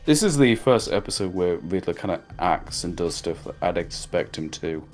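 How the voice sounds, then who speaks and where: A man's voice: sits low, expressive, normal speed, a little mellow, faint music behind. A man giving his opinions in a casual, rambling conversational review as a co-host. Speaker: co-host of a cartoon review show.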